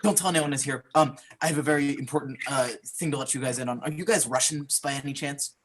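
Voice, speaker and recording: A man's voice: mid-range voice, fairly even intonation, fast, slight background noise, close to an everyday voice. A man in an improv show playing a Russian spy villain who threatens people with a hammy, theatrical delivery. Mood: secretive. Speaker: improv performer. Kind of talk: improv comedy scene.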